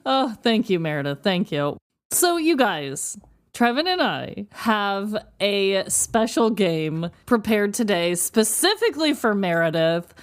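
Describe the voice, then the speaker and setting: A woman's voice: medium pitch, big pitch swings, unhurried. A woman co-hosting a podcast, chatting casually and enthusiastically as she runs a game. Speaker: podcast co-host. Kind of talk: casual game-show chat.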